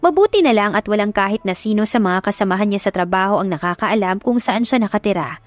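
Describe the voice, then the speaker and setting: A woman's voice: mid-range voice, expressive, quick pace, no echo, soft background music. A woman reading a dramatic scripted love story as an audiobook narrator.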